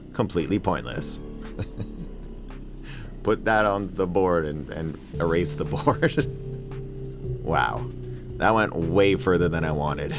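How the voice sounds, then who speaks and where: A man hosting a movie review video, giving comedic commentary in a wry, mock-exasperated way with chuckles. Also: deep voice.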